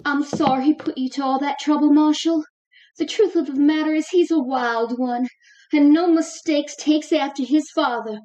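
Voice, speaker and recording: A woman's voice: sits high, steady tone, moderate pace, mellow, lightly acted, low-quality recording. A woman acting an exasperated, fretful frontier mother with folksy rustic speech in a Western radio drama. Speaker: actor in an amateur radio drama.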